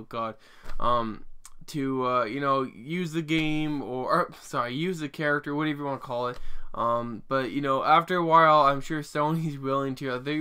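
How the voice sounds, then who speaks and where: A man's voice: mid-range voice, pushed and bright, clear, resonant tone. A man in his twenties rambling through an opinion vlog, with lots of restarts and fillers and some casual swearing. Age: 20s. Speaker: vlogger.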